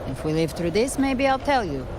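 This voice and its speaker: A woman's voice: medium pitch, very animated, moderate pace, background noise, natural voice. A woman voicing a curt, confident, battle-hardened female warrior in a dramatic video game. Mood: curt, commanding. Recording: music behind.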